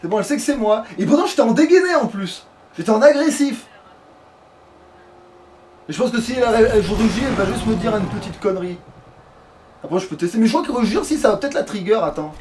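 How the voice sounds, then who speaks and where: A man's voice: sits high, lively intonation, fast, long pauses, darkish tone. A man commenting casually on his game, with crude joking slang. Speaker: let's player. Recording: noisy home recording.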